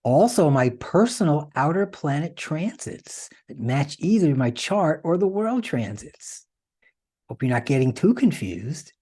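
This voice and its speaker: A man's voice: a little high, big pitch swings, a little slow, warm tone, slightly rough. A man hosting an informal explanatory presentation, conversational and often checking in with the listener through rhetorical questions. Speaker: host and presenter.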